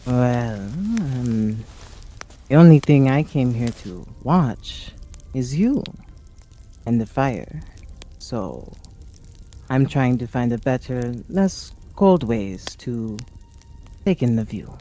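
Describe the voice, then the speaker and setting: A tabletop player voicing a flirty, teasing adventurer, speaking in a halting, coy, mock-innocent way. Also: medium pitch, lively intonation, dark tone. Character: flirty, teasing, brash one-eyed adventurer by a campfire.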